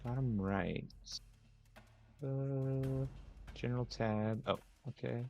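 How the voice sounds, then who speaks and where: A man's voice: mid-range voice, slow pace, gravelly, a little mellow, muffled recording, faint music behind. A man talking casually and jokingly as a player in a tabletop roleplaying game.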